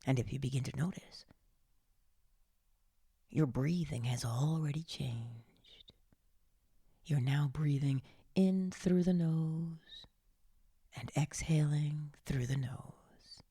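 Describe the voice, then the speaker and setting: A woman leading a guided meditation in a hushed, soothing, breathy and often whispered voice, coaxing gently with long pauses. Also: deep voice, dry recording, clean studio sound. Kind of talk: slow guided meditation narration, partly whispered.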